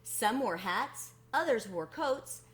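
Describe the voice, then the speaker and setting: A woman reading a children's picture book aloud as a storyteller, expressive and deliberate with drawn-out emphasis. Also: fairly high pitch.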